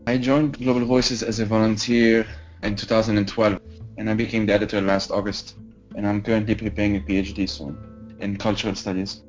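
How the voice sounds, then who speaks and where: A man's voice: fairly low pitch, level tone, a little fast, darkish tone, soft background music. Reflective young man. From Lebanon. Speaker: editor. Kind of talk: interview commentary. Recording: slightly dull lo-fi sound.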